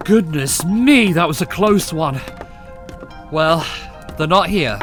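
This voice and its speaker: Guy playing a folksy rebel worker, slow talking, pitch a bit high, music behind. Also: fairly bright tone, heavily acted. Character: rough kindly rebel workman, defiant, resourceful. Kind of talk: audio drama acting.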